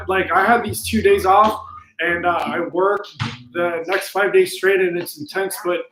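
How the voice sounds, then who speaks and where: A man's voice: on the high side, a bit bright. A man live-streaming in casual chat, reading viewer comments aloud and giving encouraging, motivational replies with plenty of ums. His voice is wearing out from long talking, and he is indoors at a friend's home. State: voice getting tired from long talking. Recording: echoey room.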